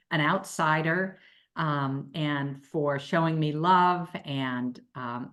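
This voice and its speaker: A woman giving informal, appreciative remarks on a group call, speaking haltingly. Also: low-pitched. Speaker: guest commenting on a donor call.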